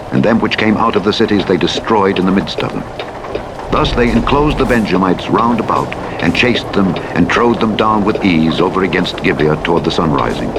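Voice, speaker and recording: A man narrating scripture from a script in a solemn, measured, formal delivery. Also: fairly low pitch. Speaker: audiobook Bible narrator. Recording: loud vehicle engine noise throughout. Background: truck driving simulator game sounds.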